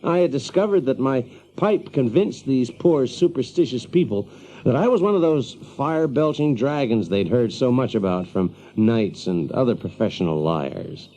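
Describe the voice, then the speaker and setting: A man's voice: medium pitch, animated, normal speed, mellow, close to an everyday voice. An actor playing a wry, exasperated Yankee man in an audio drama, folksy and hesitant as he talks. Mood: wry, excited. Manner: folksy, hesitant, chuckling, sardonic. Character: wry, exasperated Yankee man telling his tale in a medieval land. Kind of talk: audio drama acting and first-person storytelling.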